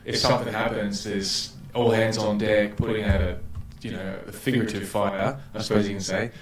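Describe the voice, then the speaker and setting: A man's voice: fairly low pitch, level tone, moderate pace. A man hosting an interview, enthusiastic, often reacting with wow. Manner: enthusiastic, keeps saying wow. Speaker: podcast host. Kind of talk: casual interview chat. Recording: echoey room with faint noise.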